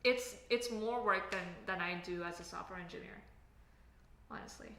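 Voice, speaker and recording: A woman's voice: mid-range voice, normal speed, bright, forceful tone, slight background noise. A woman from Canada with a Canadian accent, chatting casually on a livestream, full of filler words and tangents. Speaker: livestream host, software engineer. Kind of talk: casual livestream chat reading comments. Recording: echoey room, faint background ambience.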